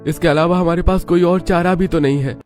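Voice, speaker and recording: Man plays worn-down village father and potato seller, pitched up, plaintive, story with soft music. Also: fairly even intonation, brisk, lightly acted. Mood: helpless frustration.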